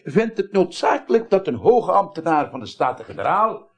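An actor playing a paternal, persuasive parliament chairman in a 1980s radio drama, husky, courtly and formal. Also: a little high, sing-song, normal speed.

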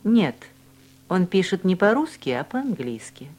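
Woman reading textbook drills, lowish voice, lots of up and down in pitch. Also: very animated, a little mellow. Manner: clear, deliberate articulation of each word. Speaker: language course reader. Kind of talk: slow, carefully enunciated textbook drill reading.

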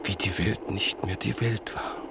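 A man narrating a radio play in a measured, solemn manner. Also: fairly low pitch, fairly even intonation, creaky, noisy background.

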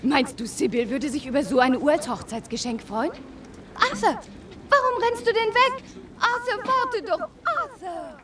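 An excitable young upper-class lady in a radio play, with a high, swooping voice. She chatters girlishly and sounds a little away from the microphone. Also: measured pace, recorded from a distance, a little hiss or hum, exaggerated voice. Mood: giggling, chatty, then puzzled, calling. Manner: animated, girlish storytelling. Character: excitable, giggly young upper-class woman telling a family anecdote. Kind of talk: German radio play acting.

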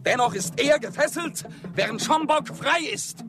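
An actor playing a proud, defiant young Comanche, the chief's child, making impassioned declamatory accusations in a radio drama. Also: male voice, very high-pitched, expressive, normal speed. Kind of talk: German radio drama acting. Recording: studio radio drama over loud timpani music.

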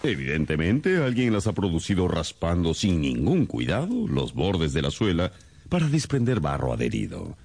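A brilliant, condescending detective from a radio drama. The voice is low with a creaky edge and leaps theatrically up and down as he lectures. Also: huge pitch swings, normal speed, a little mellow, exaggerated voice. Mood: lecturing, self-assured. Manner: theatrical, didactic, pompous.

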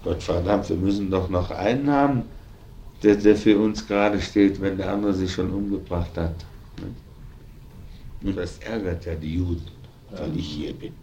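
A man's voice: low voice, steady tone, a little slow, warm tone, dull audio, a little hiss or hum. An older man in informal conversation, talking boastfully and emphatically in repetitive fragments, on an archive recording.

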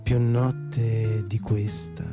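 A man giving a poetic recitation in a measured, lyrical manner. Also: lowish voice, flat delivery. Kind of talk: slow poetic recitation over guitar. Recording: prominent guitar music behind the voice. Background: plucked guitar.

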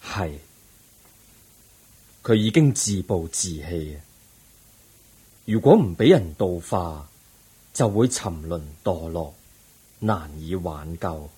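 An actor playing a calm, all-knowing Buddha in a Cantonese radio drama, solemn and compassionate, with a breathy voice and a Hong Kong accent. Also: male voice, sits low, takes it slowly, long pauses, exaggerated voice.

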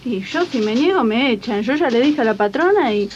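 A woman acts a hardworking Argentine seamstress in an old radio play, her voice high and hesitant. She stays deferential while she protests, worried for her job, on a dull tape with clear noise. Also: expressive, brisk, close to an everyday voice. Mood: resigned, worried. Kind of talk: 1980s educational radio drama acting. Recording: dull, noisy 1980s archive radio tape.

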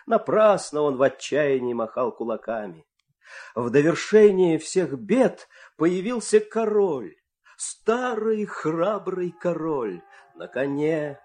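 A man tells a fairy tale in a theatrical, scripted style. His mid-range voice moves widely in pitch, building suspense with deliberate pauses. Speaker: storyteller.

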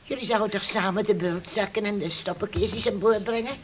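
An actress plays a fretful older aunt in a fishing village. Her middle-pitched voice admonishes anxiously in radio drama style, over clearly audible noise and clicks. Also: steady tone, fairly quick, natural voice. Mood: questioning. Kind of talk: Dutch radio play acting. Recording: noisy radio play recording with clicks.

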